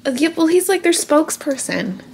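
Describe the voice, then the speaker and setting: A woman's voice: high-pitched, sing-song, fairly quick, vocal fry. A young adult woman vlogging in casual, chatty talk into a handheld camera at arm's length. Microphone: handheld camera or phone at arm's length. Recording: loud background noise on handheld camera.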